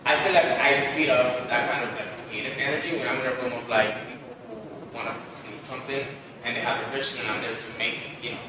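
A man's voice: medium pitch, fast talker. A young man, an actor guest on a podcast, chatting casually about auditions in a studio, talking loosely with lots of fillers. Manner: relaxed storytelling with many fillers. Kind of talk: casual interview chat. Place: podcast studio with graffiti wall. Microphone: headset mic seen, far camera. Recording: echoey room, distant, noisy.

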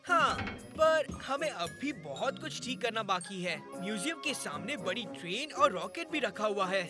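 A woman's voice: mid-range voice, big pitch swings, moderate pace, natural voice. A woman voicing a plucky, earnest little boy lizard superhero in a children's cartoon dub, with a childlike manner. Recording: music under the voice.

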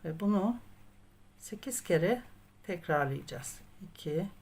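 Slow-paced woman giving craft instructions. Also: lowish voice, animated, a little mellow, slightly rough. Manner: patient, methodical instruction, counting aloud. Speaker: craft tutorial host. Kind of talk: step-by-step crochet tutorial narration.

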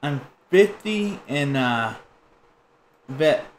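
A man chatting on a game stream, his voice sitting slightly high. He rambles and jokes crudely with a friend as he plays. A faint hiss lies under the recording. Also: expressive, extremely slow. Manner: joking, crude, rambling banter. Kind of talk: casual gaming chat.

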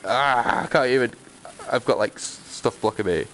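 A man giving casual, playful and sweary live commentary while streaming a video game, with an excitable manner. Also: mid-range voice, normal speed, slight background noise, rough recording.

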